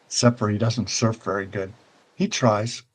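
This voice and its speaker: A man's voice: lowish voice, steady tone, normal speed, breathy edge, rough recording. Older man rambling as a casual show host. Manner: rambling, conversational, often checks in with "right?". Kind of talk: casual virtual-world tour and chat.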